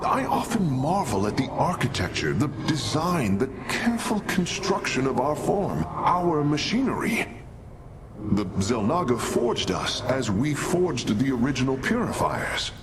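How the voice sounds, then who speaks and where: Expressive, scholarly alien engineer. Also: male voice, mid-range voice, unhurried, heavy background noise. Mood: earnest, reflective. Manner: formal, careful, expository. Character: earnest, scholarly male alien engineer, respectful and thoughtful. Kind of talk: video game cutscene acting. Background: loud music.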